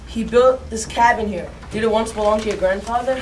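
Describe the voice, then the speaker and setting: A woman's voice: a little high, brisk, close to an everyday voice. A woman voicing an earnest, self-reliant frontier boy with a plain line reading, recorded from a distance. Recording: distant mic with faint noise.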